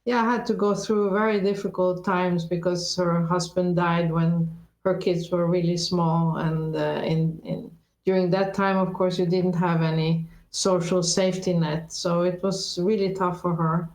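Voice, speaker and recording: A Norwegian-born woman raised in Sweden, with a low voice. She talks thoughtfully as an interview guest, often restarting and correcting herself. The sound is echoey, as if recorded in a bare room. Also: little pitch movement, a little slow, darkish tone. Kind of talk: reflective conversational interview.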